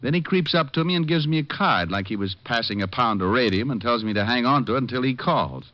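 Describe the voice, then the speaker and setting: An actor playing a wry, unflappable private detective and former cop in an old radio drama, glib and offhand, with an American accent. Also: male voice, medium pitch, animated, quick pace, close to an everyday voice. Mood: teasing, glib. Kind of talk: 1950s radio drama acting. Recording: muffled old radio recording.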